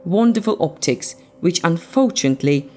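A woman narrates from a script in a fairly low voice. Her delivery is that of a prepared voice-over, with soft music playing behind her. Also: lively intonation, normal speed.

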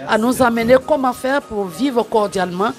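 A woman's voice: on the high side, moderate pace, faint music behind, a faint crowd. A woman speaking earnestly into a handheld mic, making an appeal in a list-like way. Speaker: women's association president. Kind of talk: interview answer.

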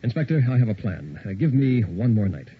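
A man's voice: lowish voice, sing-song, fairly quick, husky, exaggerated voice. A man playing a determined count, master of a castle, speaking in clipped phrases in an old radio horror play. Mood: resolute. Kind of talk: 1960s old-time radio horror acting. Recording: muffled old radio recording, background noise.

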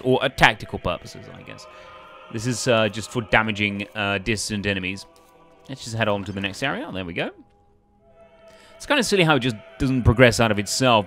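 A man's voice: mid-range voice, fairly bright tone. A man hosting a let's play, commenting casually in a hesitant, rambling way. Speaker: gaming video host. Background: faint game music.

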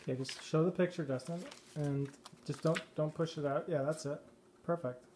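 A man's voice: fairly high pitch, steady tone, moderate pace, a little mellow. A man talking casually and encouragingly to a child, coaching from behind a handheld camera in a living room. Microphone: handheld camera mic, speaker behind camera. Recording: faint noise, clicks, off-camera home recording.

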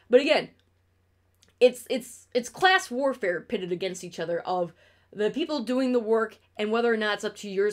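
A young woman from New York State with an upstate New York accent, chatting on a casual stream from her bedroom, blunt and ranting, swearing freely. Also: mid-range voice.

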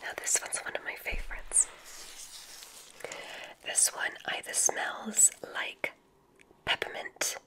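A woman whispering an ASMR roleplay as a gentle witch examiner, guiding deliberately with soft, encouraging praise. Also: rough recording.

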